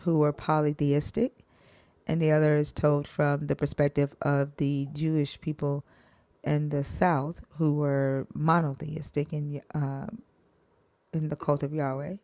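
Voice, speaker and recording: Low-voiced woman hosting a live stream. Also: little pitch movement, darkish tone, dry recording. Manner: halting, many fillers and restarts.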